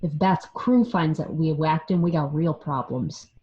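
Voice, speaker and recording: A woman's voice: low voice, unhurried, mellow, husky. A woman playing a blunt, hard-nosed male gangster in an amateur radio crime drama. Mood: blunt, urgent. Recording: dull lo-fi home connection.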